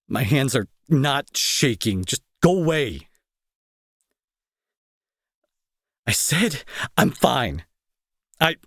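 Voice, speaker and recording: A young man playing a gruff school bully who is fearful and protective underneath, in an intense, halting, pleading audio roleplay style. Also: medium pitch, a bit bright, no echo, clean studio sound, exaggerated voice. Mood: defensive, shaken, then apologetic, earnest. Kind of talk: dramatic audio roleplay acting.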